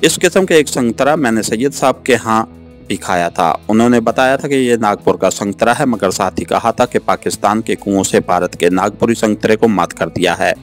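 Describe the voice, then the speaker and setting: A man reading a novel aloud as an audiobook narrator, in an earnest, expressive storytelling style. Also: fairly low pitch, normal speed. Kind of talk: dramatic scripted novel narration. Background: background music.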